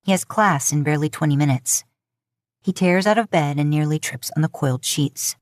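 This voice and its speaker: A woman's voice: low voice, normal speed, no room sound. A woman narrating scripted romantic fiction as an audiobook-style storyteller, recorded close to the mic.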